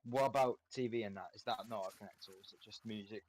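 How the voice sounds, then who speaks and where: A man's voice: on the low side, fast talker, a little mellow. A man co-hosting a casual interview, stumbling and restarting as he asks follow-up questions.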